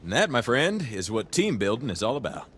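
A man's voice: mid-range voice, sing-song, brisk, a bit bright, slight background noise. A man playing a cocky, easygoing young crime boss in a video game cutscene, talking casually with wry, profane ease. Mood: casual, upbeat.

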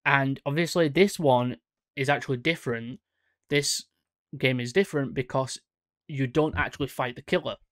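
A man's voice: medium pitch, moderate pace, fairly bright tone, dry recording, clean studio sound. A young man hosting a horror channel, talking casually about a game trailer, rambling and correcting himself with lots of fillers, at a desk mic in a small room. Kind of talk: casual reaction commentary. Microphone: studio mic on stand in front.

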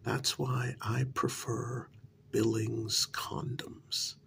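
A man voicing a flirty female succubus in a whispered ASMR advert pitch, breathy, coy and teasing. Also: sing-song, extremely slow, fairly bright tone, slight background noise, heavily acted. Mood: coy, seductive.

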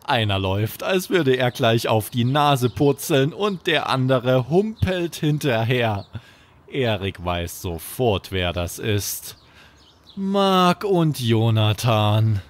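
A man's voice: mid-range voice, big pitch swings, slow. A man narrating a scripted bedtime story for children as the host.

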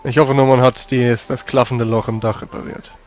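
A man's voice: mid-range voice, level tone, moderate pace, a little mellow, soft background music. A man playing in a tabletop roleplay, speaking in character with formal, old-fashioned phrasing. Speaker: tabletop role-player.

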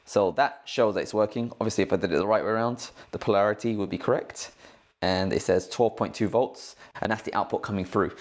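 A man's voice: lowish voice, a little mellow, a little hiss or hum. A man hosting a tech tutorial in a casual, informal, slangy way, explaining things step by step. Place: indoors at a cluttered work desk.

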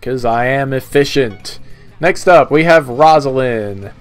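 A man giving casual, halting commentary on a video game, his phrases often trailing off. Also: medium pitch. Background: video game music.

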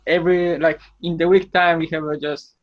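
A young Polish-accented man joins over a video call, speaking halting English with fillers and laughing easily. His voice sits a bit higher than most men's and the sound is dull and lo-fi but dry. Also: little pitch movement, no echo. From Poland. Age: young adult. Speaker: podcast guest. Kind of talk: casual chat.

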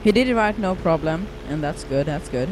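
A man's voice: fairly high pitch, expressive, fairly quick, background noise. A young man from Sweden with a Swedish accent, rambling through casual gameplay commentary with many fillers and restarts. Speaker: gaming YouTuber.

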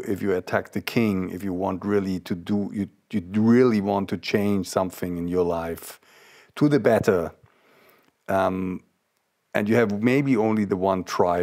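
Older male host, low voice, unhurried halting delivery, reflective conversation. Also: a little mellow. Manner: halting, word-searching delivery. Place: indoor room, seated.

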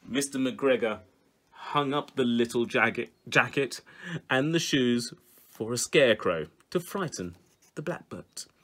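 Very animated man reading a storybook. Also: mid-range voice, measured pace, slight background noise. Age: middle-aged. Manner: theatrical, expressive, with hushed whispers and exclamations. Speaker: children's storyteller. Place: small home music room indoors.

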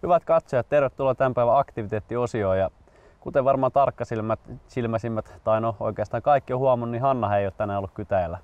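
Male presenter, twenties, everyday mid-pitched voice, chatty hosting, slightly lo-fi sound. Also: clean tone. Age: 20s. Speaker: host. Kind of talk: scripted-style presenting and casual chat.

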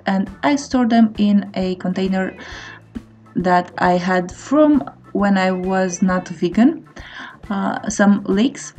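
A woman's voice: mid-range voice, warm tone, dull audio. A woman in her thirties giving a casual recipe voice-over as a cooking vlogger. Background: faint guitar music.

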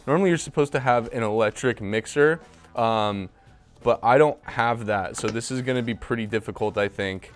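A man's voice: medium pitch, normal speed, soft background music. Upbeat young man hosting a kitchen show. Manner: upbeat, chatty, talking to a silent mascot. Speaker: host. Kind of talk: casual cooking show. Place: home kitchen, indoors. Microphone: lapel mic.

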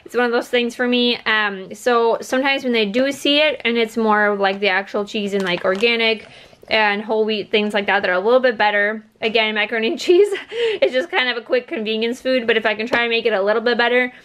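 A woman's voice: a little high, brisk, fairly bright tone, clear, resonant tone. A woman, talking casually in a rambling, conversational way as a vlogger. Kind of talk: casual chatty haul video talk. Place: indoors at home by a wooden table.

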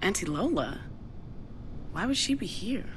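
A woman's voice: big pitch swings, unhurried. An actress playing an evasive, determined young woman in a video game, talking in a casual, slangy, offhand way. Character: evasive, determined young woman investigating her missing dad. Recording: clear low rumbling background noise.

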